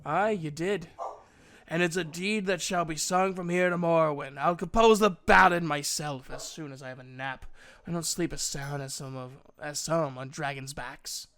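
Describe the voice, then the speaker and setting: A man voicing a proud elf mage in a storybook reading, a gruff but kindly mentor with emphatic, old-fashioned speech. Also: on the high side, moderate pace, fairly bright tone, lightly acted. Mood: weary, proud.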